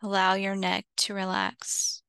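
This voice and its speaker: Female meditation guide, very slow and soothing, gentle fry in a smooth tone, close dry sound. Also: medium pitch, completely flat delivery, no room sound. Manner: soothing, unhurried, with long pauses between short phrases.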